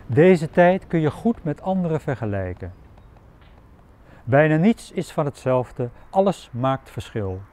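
A man reciting his poetry in a measured, declamatory way. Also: on the high side, sing-song, mellow. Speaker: poet.

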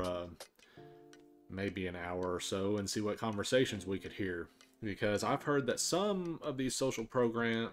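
Low-voiced man hosting over clear music. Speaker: video host. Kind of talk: casual vlog introduction. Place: indoor room with sofa, purple lighting.

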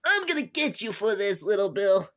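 A woman's voice: medium pitch, big pitch swings, moderate pace, lightly acted. A woman voicing an indignant, tattling young schoolboy in an amateur cartoon. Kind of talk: amateur animated video dialogue.

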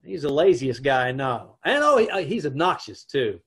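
A man's voice: medium pitch, big pitch swings, moderate pace, a bit bright, husky, full character voice. A middle-aged man playing a wry, exasperated husband who wisecracks about his troubles in an old-time radio comedy. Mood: wry, narrating. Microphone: video call.

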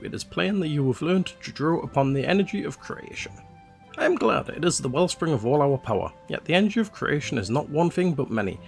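A man's voice: fairly high pitch, vocal fry. A man with a slightly congested nose voicing a learned male guild master who formally instructs his student. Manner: measured, formal reading of dialogue.

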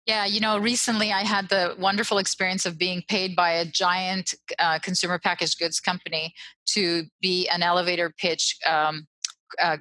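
Woman, 40s, interview guest. Blunt, animated, bright and punchy, dry close headset sound. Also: fairly low pitch, normal speed, dry recording, poor audio. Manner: blunt, animated, self-interrupting, laughs often. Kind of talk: casual interview over video call. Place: small room, indoors. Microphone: headset mic.

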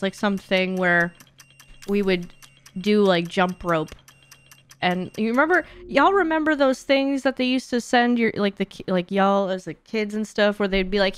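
A woman's voice: medium pitch, fairly bright tone, clear, resonant tone. A young woman chatting casually and playfully as she streams a game. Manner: playful, chatty, breaks into singing and silly sounds. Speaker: streamer playing a horror game. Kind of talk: casual gaming livestream chat. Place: indoor streaming room at home.

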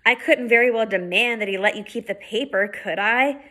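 Woman voicing a calm schoolboy, reasonable, explaining, high, bright. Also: normal speed, slightly rough. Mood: reasoning.

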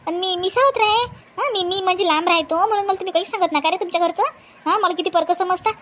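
A woman's voice: very high-pitched, fast talker, noisy background. A woman playing an aggrieved married sister in a comedy cartoon, accusing and repetitive, with a Vidarbha Marathi accent. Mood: hurt, accusing. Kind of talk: exaggerated cartoon family comedy.

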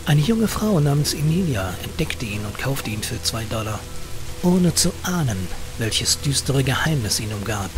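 A man reading a short horror story as narrator, measured and dramatic. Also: on the high side. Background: eerie horror music.

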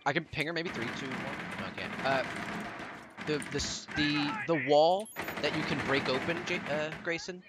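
A young man playing a shooter game with friends, excitable and swearing often as he chats and gives callouts. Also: on the high side, moderate pace, heavy background noise. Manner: excitable, swears a lot, laughs. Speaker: streamer playing a tactical shooter. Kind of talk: casual gameplay chat and callouts.

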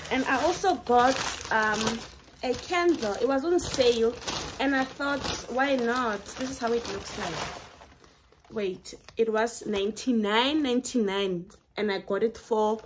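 A woman's voice: a little high, a little hiss or hum. A young South African woman with a Setswana accent, chatting through a shopping haul in her bedroom. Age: young adult. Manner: chatty, enthusiastic, code-switching. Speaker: vlogger. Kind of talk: casual haul vlog, mixing English and Setswana. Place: bedroom at home, indoors.

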